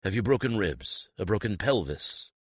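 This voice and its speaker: A man voicing a stern older male weapons master who rasps harshly with oddly inverted phrasing. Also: low-pitched, sing-song, moderate pace, no room sound, full character voice. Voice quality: rasping, clipped inverted phrasing.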